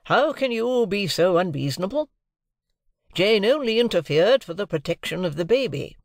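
Woman acting an exasperated, desperate gentleman. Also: medium pitch, sing-song, normal speed, dry recording, clean studio sound, voice pushed up. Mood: remonstrating. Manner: desperate, exclamatory, remonstrating. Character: helpless, exasperated gentleman, desperate father of a household. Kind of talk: audiobook character acting.

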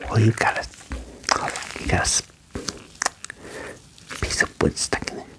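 A young man doing a soft ASMR medical roleplay in a breathy whisper, slow, soothing and reassuring, close to the microphone. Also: background noise. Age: young adult. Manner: slow, soothing, reassuring, repeating short praise. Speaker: ASMR roleplayer playing an alien doctor. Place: small indoor room at home. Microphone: close desk microphone with furry windscreen.

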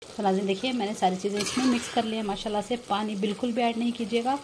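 A woman's voice: on the high side, fairly even intonation, fast talker. A woman giving a casual step-by-step cooking narration, often addressing viewers directly and repeating her instructions. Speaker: home cook and vlogger presenting a recipe. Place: home kitchen. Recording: clear kitchen noise, clattering pots and sizzling. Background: pots clanking, frying and stirring.

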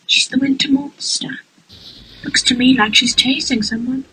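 A woman's voice: sits high, steady tone, normal speed, pushed and bright, breathy edge, full character voice, poor audio. A woman voicing a cheery, eccentric male inventor creature in an amateur cartoon dub, speaking in a genteel, airy, old-chap manner. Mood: airy, chummy.

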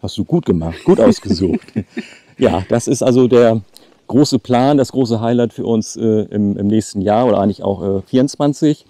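A man's voice: on the low side, animated, moderate pace, warm tone, no room sound. A man in his late fifties chatting casually to the camera outdoors as a travel vlogger. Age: late 50s. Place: outdoors by a lake.

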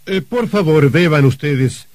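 A man's voice: fairly high pitch, lively intonation, a little mellow, no echo. An actor playing a wry, confident rich man facing his own murder, in theatrical old radio drama style. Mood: polite, controlled. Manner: theatrical, deliberate, sardonic. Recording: vintage radio drama recording.

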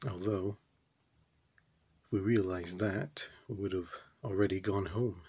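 Guy acting as a puzzled brooding man onstage, husky, really slow, halting and pausey. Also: low-pitched, fairly even intonation, darkish tone. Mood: puzzled musing. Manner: halting, pondering, with deliberate pauses. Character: puzzled, brooding man musing in an absurdist stage monologue. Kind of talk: theatrical stage monologue.

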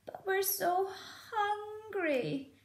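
A woman voicing small hungry fox cubs, pleading in a high whine. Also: lively intonation, drawn-out words, reverberant, full character voice.

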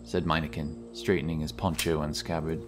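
A man narrating a scripted fantasy audiobook in a measured, dramatic way. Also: a bass voice, little pitch movement, soft background music.

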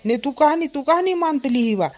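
A woman's voice: high voice, expressive, brisk, a little mellow. A defiant cartoon villager, voiced by a woman. The character argues insistently, in a scolding way. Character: defiant, argumentative village voter. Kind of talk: cartoon comedy dialogue.

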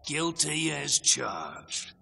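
Gravelly, taunting Australian rogue. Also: male voice, on the high side, sing-song, very slow, sharp tone, full character voice. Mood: cajoling. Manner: taunting, slangy. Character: sly, mocking male cyborg mercenary, rough and gloating. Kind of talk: video game cutscene acting. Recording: music under the voice.

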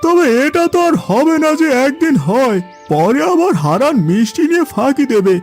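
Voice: high voice, big pitch swings, moderate pace, a little mellow. A performer voicing an eager, insistent villager in a cartoon, with music behind the voice. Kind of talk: cartoon dialogue.

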